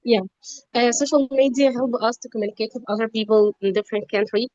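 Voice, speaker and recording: A woman's voice: on the high side, steady tone, quick pace, darkish tone, no room sound. A woman learning English in an online class, speaking hesitantly with restarts and small apologies. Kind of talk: spoken English practice. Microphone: video call. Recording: lo-fi dry video call audio.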